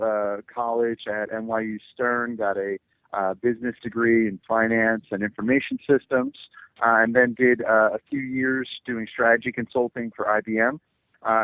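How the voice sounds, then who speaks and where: A man's voice: fairly low pitch, fairly bright tone, dry recording, poor audio. A man in his twenties from New Jersey, answering radio interview questions as a political candidate, hesitant and heavy with fillers. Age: 20s.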